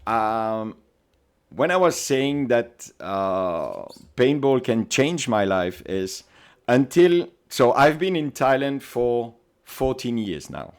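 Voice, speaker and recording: A man's voice: mid-range voice, sing-song, takes it slowly, clear, resonant tone. A man from Belgium with a Belgian accent, chatting as a podcast guest and telling stories animatedly in non-native English. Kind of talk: casual interview chat.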